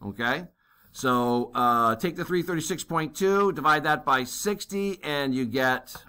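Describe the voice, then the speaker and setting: A man works through a navigation calculation as a lesson, talking over his own writing. His voice is bright and a bit tense. He thinks aloud, with many fillers and quick "okay?" checks. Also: mid-range voice. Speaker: navigation instructor. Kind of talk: worked-problem math lesson.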